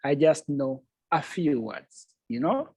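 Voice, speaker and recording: A man's voice: on the high side, animated, slow, vocal fry, darkish tone, breathy edge, no echo, clean studio sound. A man teaching Swahili over a video call. He explains things patiently, repeats each point in English, and laughs easily.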